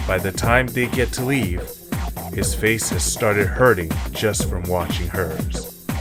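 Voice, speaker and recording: A man narrating a scripted story for an audio drama. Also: lowish voice.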